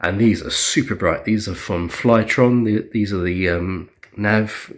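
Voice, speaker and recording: A man's voice: lowish voice, a little slow. A man casually walking through his model plane build at home, chatty with lots of ums and restarts. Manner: chatty, many ums and restarts, self-deprecating. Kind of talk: casual show-and-tell walkthrough. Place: indoors at a home dining table.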